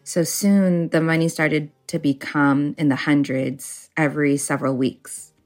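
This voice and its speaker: A woman's voice: sits low, steady tone, measured pace, darkish tone, soft background music. A woman in her thirties from the US telling a personal family story, reflective and a bit hesitant. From United States. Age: 30s. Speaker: interviewee sharing a story.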